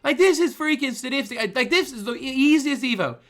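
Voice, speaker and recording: A man's voice: very high voice, expressive, a little fast, a bit bright, faint music behind. A young man with a lisp from a swollen tongue, ranting in exasperated gaming commentary. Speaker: gaming streamer.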